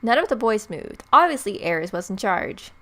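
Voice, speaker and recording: A woman's voice: mid-range voice, sing-song, moderate pace, bright, forceful tone. A young woman hosting a let's-play, reading game narration aloud expressively and dropping in playful, casual reactions. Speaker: let's-play video host. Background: game music.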